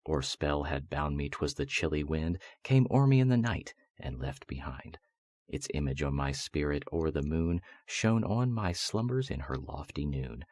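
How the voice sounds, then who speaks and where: A man with a husky voice reciting gothic poetry as a voice-over artist, in a solemn, measured, theatrical way meant to soothe listeners toward sleep. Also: big pitch swings, a little mellow, dry recording. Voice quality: husky, very deep voice.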